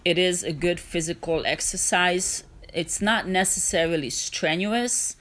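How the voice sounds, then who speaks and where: A woman's voice: lowish voice, measured pace, a bit bright. A woman narrating her hiking vlog in a casual, earnest, encouraging way, with small restarts. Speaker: hiking vlogger.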